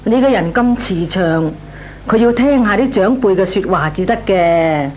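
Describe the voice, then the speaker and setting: An actress playing a worried, plaintive middle-aged aunt with a Cantonese accent in an old Hong Kong radio drama. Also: female voice, mid-range voice, expressive, normal speed, a little mellow, natural voice. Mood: worried, pleading. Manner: plaintive, sighing, exclamatory. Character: fretful married woman, worried pleading aunt. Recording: old radio recording, faint noise.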